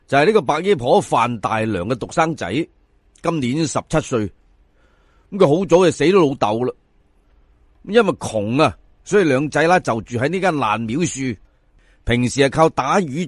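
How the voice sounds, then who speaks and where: A man's voice: mid-range voice, dry recording, studio quality. A man with a Cantonese accent narrating a folk tale in a theatrical, colloquial way, speaking straight to his listeners. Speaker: storyteller.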